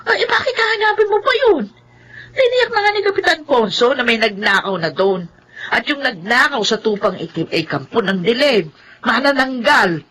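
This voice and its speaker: Radio drama role: fretful, insistent Filipino mother, sharp voice with lively swoops in pitch. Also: normal speed, breathy edge. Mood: puzzled, scolding. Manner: insistent, stammering, exclamatory. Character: anxious, nagging, questioning wife and mother. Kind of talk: horror radio drama acting.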